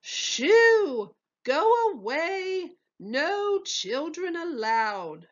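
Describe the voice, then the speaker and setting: A flustered, bossy headwaiter from a storybook, acted out by a woman. His voice swoops up high as he fusses and exclaims, stretching his words. The sound is a little dull and lo-fi. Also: very animated, exaggerated voice. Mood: scolding. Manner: fussy, exclaiming, shooing in drawn-out words.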